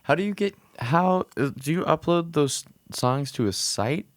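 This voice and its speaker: A man's voice: a little high, animated, normal speed. A man co-hosting a podcast, dropping short interjections and questions into a casual chat.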